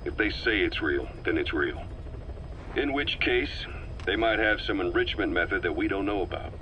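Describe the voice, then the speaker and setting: A shrewd veteran military strategist from a video game, deep and tense in tone. He sounds composed and quietly suspicious, probing with deliberate, understated lines. Faint noise sits behind the voice. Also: expressive, low-quality recording. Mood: pensive, suspicious. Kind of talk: scripted video game dialogue.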